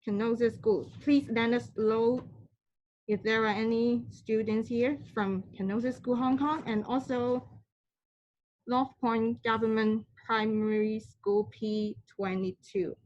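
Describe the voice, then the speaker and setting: Polite higher-voiced woman hosting online. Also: fairly even intonation, darkish tone. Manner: polite, formal, halting. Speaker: online workshop moderator. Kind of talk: hosting an online question session. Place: online video call. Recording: slightly dull online call audio.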